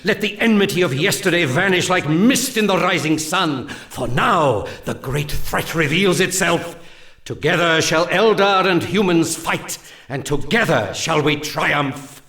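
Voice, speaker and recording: An actor playing a solemn, zealous alien elf war leader in a video game, delivering mission briefings in grandiose, archaic oratory. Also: male voice, fairly high pitch, expressive, a little slow, sharp tone, echoey room, exaggerated voice. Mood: stern, rousing.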